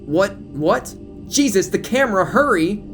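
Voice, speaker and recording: A pushy woman TV reporter in an audio drama, acted by a man. The voice is raised high, brash and demanding, with a falsely polite edge. Music runs behind it. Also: expressive, measured pace, a bit bright. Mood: furious, impatient. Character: pushy, sharp-tongued woman TV news reporter.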